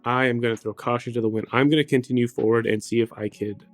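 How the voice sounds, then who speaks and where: A man's voice: medium pitch, steady tone, fast talker. A man chatting casually as a player in a tabletop roleplaying game. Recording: music playing behind the voice. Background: music.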